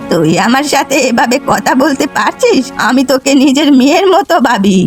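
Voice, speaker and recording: Lady doing gentle old village granny, cartoon, plaintive and earnest, high voice, bit of music behind. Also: expressive, moderate pace. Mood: hurt, puzzled. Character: poor, proud, gentle old village woman. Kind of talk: Bengali cartoon voice acting.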